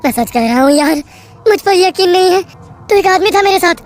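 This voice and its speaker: A woman voicing a frightened young male railway worker who pleads breathlessly in a high voice. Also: expressive, rapid-fire, darkish tone. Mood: desperate.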